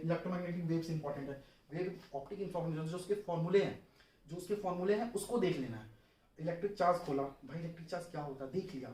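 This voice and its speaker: A man's voice: a little high, darkish tone. A young man giving an informal exam strategy lesson, instructive and colloquial, repeating points for emphasis. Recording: roomy, distant mic, faint noise.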